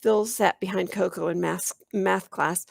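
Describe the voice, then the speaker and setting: A woman reading a children's novel aloud as an expressive storyteller, in the manner of a teacher. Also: lowish voice, measured pace, gravelly, no room sound, studio quality. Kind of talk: children's audiobook narration.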